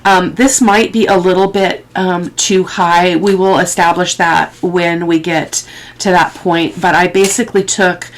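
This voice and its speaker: A middle-aged woman with a head cold, sniffly, giving a casual craft tutorial and thinking aloud in a halting way as she works. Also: lowish voice, steady tone. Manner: unhurried, halting, thinking aloud while working. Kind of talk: casual, rambling craft process talk. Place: indoors at a craft table.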